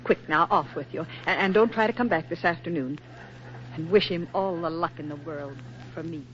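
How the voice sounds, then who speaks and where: An actress playing a kindly, wry office boss in 1940s radio drama style. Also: female voice, on the low side, normal speed, close to an everyday voice. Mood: kindly, brisk. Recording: muffled, noisy 1940s radio recording.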